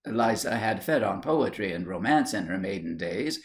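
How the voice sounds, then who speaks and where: Man, audiobook narrator, comic period story, measured literary delivery, lively intonation. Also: on the low side.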